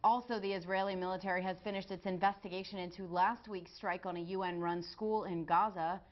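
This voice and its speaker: A woman's voice: mid-range voice, highs cut off. A woman with an American accent reading scripted television news as an anchor.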